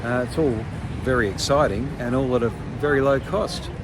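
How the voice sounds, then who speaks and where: An older man vlogging casually to camera, pausing often with ums and restarts. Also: mid-range voice, background noise. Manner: hesitant, many ums and restarts. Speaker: travel vlogger.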